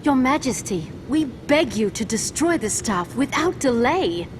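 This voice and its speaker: A woman voicing a dignified, earnest queen in a fantasy game cutscene, formal, courteous and deliberate in her speech. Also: high-pitched, sing-song, background noise. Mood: grave, urgent. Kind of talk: scripted video game cutscene acting.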